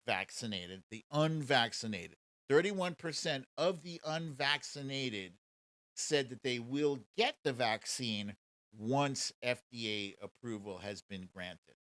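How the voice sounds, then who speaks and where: Sarcastic man with swooping, unhurried delivery. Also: medium pitch, a bit bright, dry recording. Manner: sarcastic, mocking, profane. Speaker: show host. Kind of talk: casual political commentary livestream.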